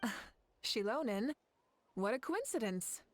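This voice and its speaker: Composed, higher-pitched female leader. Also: sing-song, a little slow. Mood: matter-of-fact. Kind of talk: anime-style video game voice acting.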